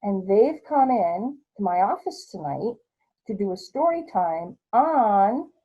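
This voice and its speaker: An American woman hosting a read-aloud storytime for children in an encouraging, expressive manner. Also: medium pitch, takes it slowly, husky, narrow, tinny audio. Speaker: host, nonprofit executive director. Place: office.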